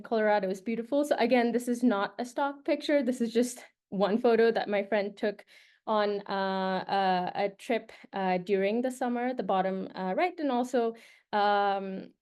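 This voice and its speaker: A woman's voice: medium pitch, darkish tone, clear, resonant tone. A young woman from Nepal, a postdoctoral researcher, giving a casual presentation with many fillers and restarts. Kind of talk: informal presentation to prospective postdocs.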